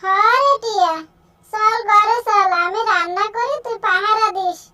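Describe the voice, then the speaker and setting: Someone doing a high, bright old village granny. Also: steady tone, unhurried, exaggerated voice. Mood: weary, affectionate. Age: older. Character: grateful, kindly old village woman, timid of ghosts. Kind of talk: children's cartoon story acting.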